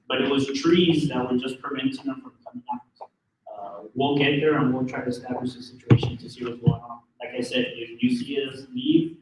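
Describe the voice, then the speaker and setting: A man with a loud voice giving a fire safety briefing to a room of business people, hesitant with many ums and restarts. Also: mid-range voice, very noisy. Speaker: fire marshal office representative. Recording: echoey room, far from the mic.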